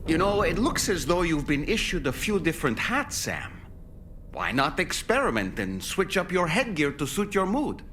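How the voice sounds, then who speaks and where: Lively, bright-voiced male game companion. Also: fairly high pitch, moderate pace, slight background noise. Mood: wry, playful. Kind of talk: scripted video game character dialogue.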